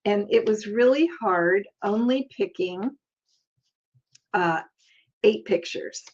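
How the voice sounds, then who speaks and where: A woman's voice: medium pitch, slow, darkish tone. An older woman hosting a casual crafting tutorial, chatty and friendly, laughing often as she talks. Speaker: crafting channel host. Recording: slightly dull lo-fi sound.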